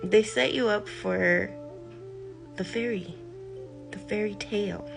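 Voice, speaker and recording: An older woman, a healer, speaking in a slow, deliberate monologue, stressing her points by repeating them and letting phrases trail off. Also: mid-range voice, vocal fry, rough recording. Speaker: healer giving a talk. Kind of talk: reflective spoken monologue. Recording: music behind the voice.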